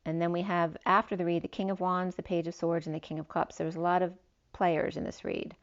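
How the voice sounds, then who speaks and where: A woman's voice: on the low side, level tone, brisk. A woman giving a spiritual tarot reading, meandering through long lists of cards with fillers, her voice slightly worn. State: losing her voice a little. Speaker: tarot reader. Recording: dull lo-fi sound.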